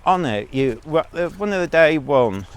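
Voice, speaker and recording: A man's voice: on the high side, lively intonation, normal speed, smooth. A man giving a blunt, rambling monologue as a vlogger, talking while out on a walk.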